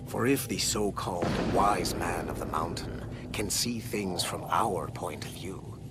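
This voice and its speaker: An actor playing a solemn, persuasive Templar lecturer, delivering a formal, deliberate monologue in a breathy voice for a video game. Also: male voice, sits low. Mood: grave, persuasive. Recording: loud noise bed under the voice.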